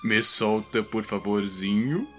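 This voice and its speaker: A man's voice: on the low side, slow pace, over loud music. A man with a Brazilian accent playing an arrogant, short-tempered alien villain in a comedic anime parody dub, sarcastic and blustering. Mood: irritated, smug. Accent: Brazilian Portuguese.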